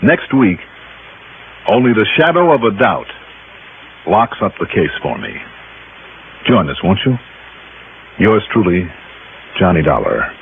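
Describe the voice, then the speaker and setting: An American man playing a tough, confident insurance detective in an old radio drama, narrating and talking in a brisk, wry, hard-boiled way. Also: low-pitched, very animated, gravelly, noisy background, exaggerated voice. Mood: inviting. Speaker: lead actor and narrator of a radio detective series. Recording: old narrowband radio recording, music behind.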